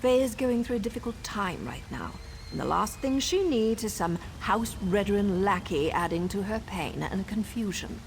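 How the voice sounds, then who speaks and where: An actress playing a sardonic, self-assured assassin in a video game, with a teasing, wry way of talking. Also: female voice, mid-range voice, expressive, moderate pace, gravelly, a little hiss or hum. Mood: firm, serious. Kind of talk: video game character acting.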